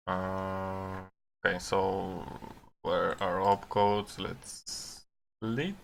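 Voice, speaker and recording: A man who is a non-native English speaker gives unscripted live coding commentary, thinking aloud haltingly with long pauses. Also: low-pitched, little pitch movement, gravelly, fairly bright tone, slight background noise. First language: not English. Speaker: programmer live-streaming his coding.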